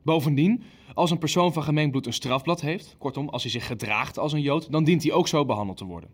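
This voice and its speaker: A man's voice: on the high side, a little fast, natural voice. Blunt, ruthless male hardliner played by an actor. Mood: ruthless, decisive. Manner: blunt, clipped, authoritative. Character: male Nazi official, ruthless, impatient hardliner. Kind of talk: Dutch audio drama acting, naturalistic meeting dialogue.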